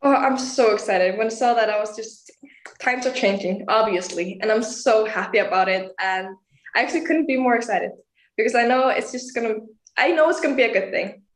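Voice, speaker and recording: A woman's voice: a little high, fairly quick, roomy sound. An eighteen-year-old woman from Iceland, a pageant contestant answering interview questions earnestly and laughing often. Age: young adult.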